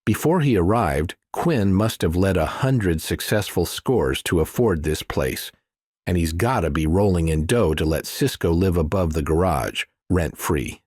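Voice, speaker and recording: A man's voice: low voice, expressive, a little slow, darkish tone, slightly rough, dry recording, clean studio sound. A man reading scripted thriller narration as an audiobook narrator.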